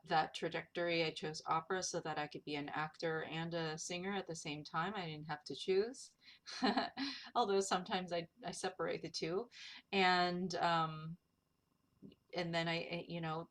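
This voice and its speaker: A woman's voice: sits low, fairly bright tone. A woman from northern New Jersey, an opera singer, answering interview questions in a chatty way with frequent laughs. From northern New Jersey, USA. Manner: chatty, laughs often, restarts words.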